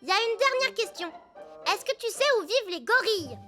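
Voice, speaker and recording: A woman voicing an earnest, lively young schoolboy in a children's cartoon. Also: very high-pitched, brisk, faint music behind, exaggerated voice. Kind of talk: dubbed children's cartoon acting.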